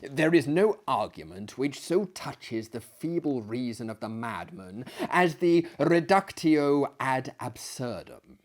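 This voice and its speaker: Male reader as self-important older asylum host, theatrical, emphatic, unhurried, swooping intonation, storybook tale. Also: mid-range voice, big pitch swings. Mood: pompous, expansive. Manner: emphatic, pompous, lecturing. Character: self-assured older asylum director, genial and pompous.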